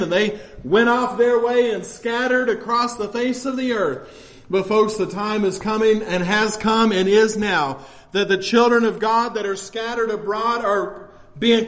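An American man preaches with a strikingly high-pitched voice. His sermon delivery is impassioned and emphatic, urging his listeners on in plain, folksy phrasing. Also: fairly bright tone.

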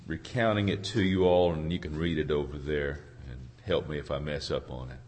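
A hoarse man preaching an informal sermon in a halting, folksy way, searching for his words and clearing his throat. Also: very deep voice, fairly even intonation, a little mellow. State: hoarse from a cold. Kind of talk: informal sermon with congregation responses.

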